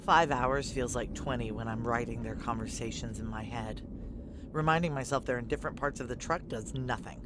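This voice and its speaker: A woman's voice: very deep voice, brisk, noisy background. A woman playing a guarded, brooding woman on the run who narrates a noir audio drama in a terse, wry, confiding way.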